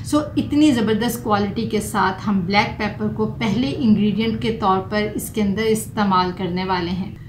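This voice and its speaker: A woman's voice: mid-range voice, moderate pace, hardly any pauses. A middle-aged woman, a doctor explaining home remedies to camera and mixing in English terms. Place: small furnished room indoors. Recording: roomy echo, faint background noise.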